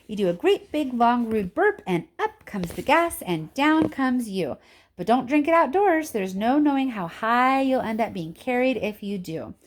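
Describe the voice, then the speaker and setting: A woman's voice: fairly high pitch, very animated, unhurried, pitched above the performer's own voice. A woman voicing an eccentric, impatient and boastful male candy-factory owner in an exclamatory storybook reading. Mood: excited. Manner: exclamatory, theatrical. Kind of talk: children's storybook character reading.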